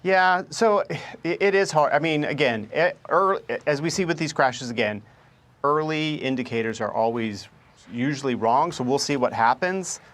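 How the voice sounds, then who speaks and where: A man's voice: fairly high pitch, lively intonation, normal speed, fairly bright tone. A man, a former government spokesman, giving unscripted expert commentary in an interview, often repeating words as he starts.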